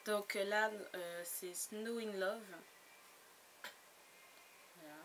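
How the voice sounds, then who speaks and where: A woman's voice: medium pitch, fairly even intonation, drawn-out words, fairly bright tone. Giggly French-speaking woman, noisy recording. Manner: chatty, giggly, many fillers and restarts. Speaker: book blogger. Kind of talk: casual book haul vlog.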